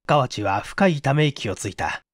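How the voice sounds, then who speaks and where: A man's voice: mid-range voice, expressive, moderate pace, dry recording. Casting: male narrator for mystery audiobook, scripted literary reading, dry studio sound.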